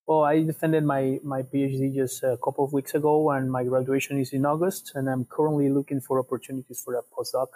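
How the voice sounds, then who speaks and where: Halting young man with an Ecuadorian accent. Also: mid-range voice, flat delivery, dark tone, rough recording. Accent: Ecuadorian Spanish. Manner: measured, halting, repeats words. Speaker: guest researcher, PhD student. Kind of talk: explaining research in an interview.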